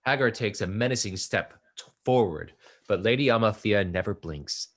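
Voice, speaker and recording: A man's voice: fairly low pitch, lively intonation, normal speed, a bit bright. A man narrating the stage directions of a play from a script.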